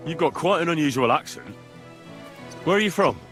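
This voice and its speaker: An actor playing an earnest, persistent young squire with a husky voice in a medieval video game. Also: male voice, on the high side, lively intonation, normal speed, fairly bright tone, faint music behind. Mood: curious. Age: young adult. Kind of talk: video game dialogue acting.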